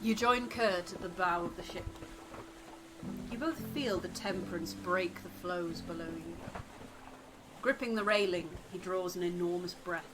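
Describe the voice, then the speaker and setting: A woman's voice: lowish voice, unhurried, low-quality recording. A woman reading scripted story narration for a game playthrough. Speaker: co-host of a voiced game playthrough. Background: music.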